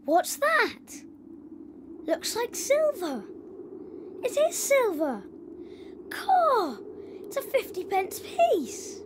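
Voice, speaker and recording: A woman's voice: very high voice, huge pitch swings, drawn-out words, pauses often, background noise, exaggerated voice. An actress playing an earnest, wide-eyed, kind-hearted young boy in a children's audio drama. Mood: amazed, eager.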